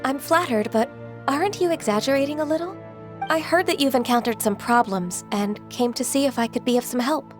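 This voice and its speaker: An actress playing a dutiful, modest secretary in a video game, formal, composed and deferential. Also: female voice, high voice, fairly quick, soft background music, lightly acted. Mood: composed, helpful. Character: polite, modest, dutiful young-sounding woman secretary.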